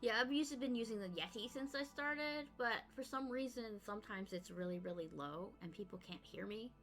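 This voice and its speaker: An energetic woman hosting a casual podcast chat, her voice bright and forceful. She talks loudly and laughs easily as she goes. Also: on the high side, fairly quick, faint music behind. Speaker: podcast host.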